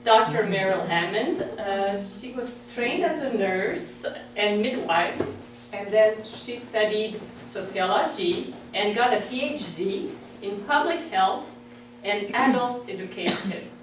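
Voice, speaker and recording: A woman's voice: medium pitch, expressive, background noise. A woman introducing a guest speaker, hesitant with restarts. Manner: hesitant, halting. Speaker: host, board president. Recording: echoey hall, mic far off.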